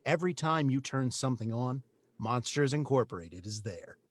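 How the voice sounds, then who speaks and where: A man playing an earnest corporate TV commercial announcer, measured and promotional, in a scripted read. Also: medium pitch, lively intonation, no room sound, natural voice. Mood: polished.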